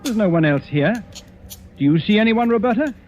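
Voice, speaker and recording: A genteel, unruffled lord of the manor in a vintage radio mystery. His slightly high voice is courteous and composed, with a sly edge, on a dull old recording. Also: expressive, a little fast, a little mellow, faint music behind. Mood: cool, feigned concern. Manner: courteous, composed, commanding with servants. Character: cool, genteel titled host, slyly needling his guest. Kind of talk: old-time radio drama acting.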